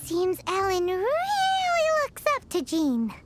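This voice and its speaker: A woman voicing a tiny floating fairy companion in a video game, bubbly, exclamatory and quick to tease. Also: extremely high pitch, huge pitch swings, background noise, full character voice. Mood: curious. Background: game music.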